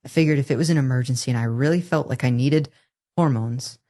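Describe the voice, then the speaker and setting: A woman in her twenties speaks with a low, somewhat masculine-leaning voice. As a podcast guest, she tells her story earnestly and candidly. She often stops and restarts her sentences. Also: quick pace, dark tone. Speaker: interview guest. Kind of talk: personal testimony in a podcast interview.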